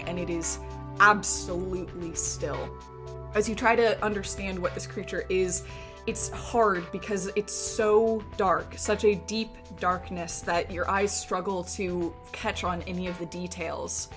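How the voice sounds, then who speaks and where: A woman's voice: fairly low pitch, fairly even intonation, a little slow. Woman game master over music. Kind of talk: narrating a tabletop fantasy game. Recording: music playing behind.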